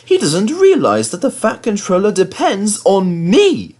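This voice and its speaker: A man puts on a cheeky young storybook engine, pitched well above normal talk. He is impatient and huffy, with a sing-song lilt that swings up and down. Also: huge pitch swings, unhurried, darkish tone, heavily acted. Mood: cross, huffy. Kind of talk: children's storybook character voice.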